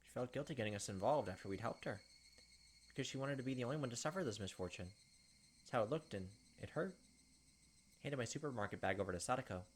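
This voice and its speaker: A man's voice: medium pitch, expressive, fast, a little hiss or hum. A man hosting a let's play, reading game text aloud dramatically with casual asides. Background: game music.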